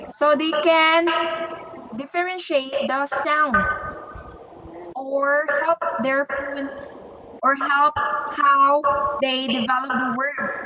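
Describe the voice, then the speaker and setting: Lady teacher talking slow to a class, lots of "okay?", roomy room with noise behind. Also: sits high. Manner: slow, questioning, checks with "okay?". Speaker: English teacher. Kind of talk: teacher training workshop talk. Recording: echoey room with loud noise.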